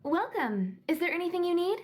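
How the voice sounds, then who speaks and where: Very high-voiced friendly shopkeeper. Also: female voice, big pitch swings, a little fast, faint music behind. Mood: welcoming, obliging. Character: friendly, obliging young female shopkeeper. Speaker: video game character. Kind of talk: animated game voice acting.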